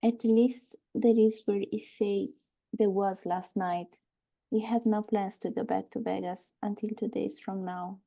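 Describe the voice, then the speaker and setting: Woman acting slow, dark-voiced, bitter grieving mother. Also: mid-range voice, steady tone, gravelly. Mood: numb, bitter. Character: bitter, grieving wife and mother recounting betrayal. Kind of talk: live table read of screenplay.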